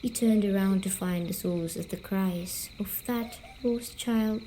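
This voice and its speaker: A woman voicing a gentle, teasing female sleep paralysis demon, speaking softly and soothingly in an ASMR story-telling roleplay. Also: mid-range voice, a little hiss or hum, lightly acted. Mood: hushed storytelling. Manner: slow, soothing, coaxing, softly spoken.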